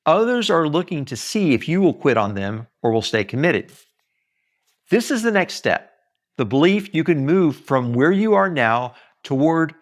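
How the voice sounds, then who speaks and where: Male author-narrator, mid-range voice, very varied intonation, earnest preacher-like emphasis for a self-read audiobook. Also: mid-range voice. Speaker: author reading own book aloud. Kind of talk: expressive audiobook-style reading.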